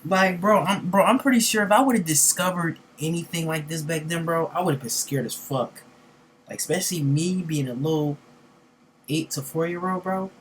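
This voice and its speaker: A young man hosts a reaction video in a voice a touch higher than most men's. His talk is informal, slangy and freely profane. There is faint noise in the recording. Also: normal speed. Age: young adult. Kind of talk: casual, slangy reaction commentary.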